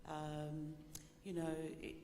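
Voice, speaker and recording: A woman's voice: low voice, completely flat delivery, slow, warm tone. A middle-aged woman, a corrections manager with a big voice, speaking frankly at a workshop. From Australian Capital Territory. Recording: echoey hall with faint noise.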